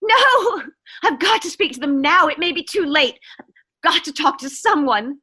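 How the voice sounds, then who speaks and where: A woman's voice: high voice, lively intonation, moderate pace, bright, forceful tone. A woman playing an anxious, demanding invalid wife in a suspense radio play, melodramatic and stammering as she pleads. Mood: frantic. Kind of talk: 1940s-style suspense radio play acting.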